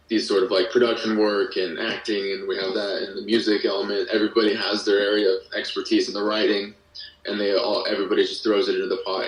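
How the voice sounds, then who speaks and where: A young American man chatting casually as an interview guest, explaining things in a rambling, self-interrupting way. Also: lowish voice. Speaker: podcast guest, musician and audio drama creator. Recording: roomy echo, distant from the mic.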